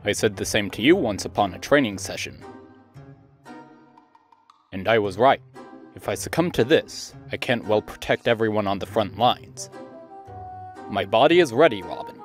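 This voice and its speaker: Man playing an earnest fantasy game knight, fairly low voice, formal, solemn, courtly, devoted, soft music behind. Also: expressive, moderate pace, pauses often, natural voice. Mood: resolute. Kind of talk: fan dub of a fantasy game dialogue.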